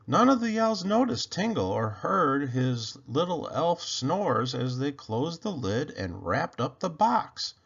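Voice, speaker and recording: A man with a gravelly, husky voice narrating a children's story in an expressive read-aloud style. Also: on the high side, takes it slowly, few pauses, dull audio. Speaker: storybook reader.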